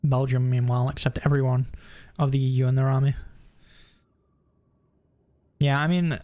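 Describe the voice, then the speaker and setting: A man's voice: medium pitch, level tone, normal speed, dark tone. Rambling young man chatting while gaming. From United Kingdom. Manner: rambling, hesitant, self-correcting, jokey. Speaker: streamer. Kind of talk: casual chat with stream viewers while gaming.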